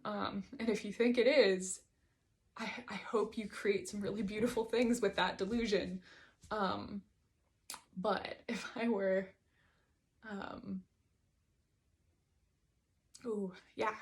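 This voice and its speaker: Creaky-voiced woman reflecting aloud. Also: medium pitch, steady tone, takes it slowly, long pauses. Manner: hesitant, digressive, thinking aloud. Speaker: host reading and discussing own writing. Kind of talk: reflective solo monologue.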